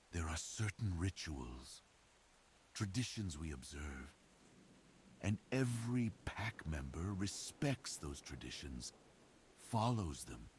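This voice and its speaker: A man playing a devoted, protective werewolf boyfriend in an intimate ASMR roleplay, speaking earnestly and deliberately to reassure his partner. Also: very deep voice, a little hiss or hum, full character voice.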